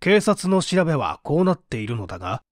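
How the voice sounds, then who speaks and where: Man acting a formal, probing prosecutor, higher-pitched voice. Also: very animated, a little fast, dry recording, studio quality. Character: composed, formal male public prosecutor questioning a suspect. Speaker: voice actor reading a radio drama. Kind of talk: dramatized mystery reading.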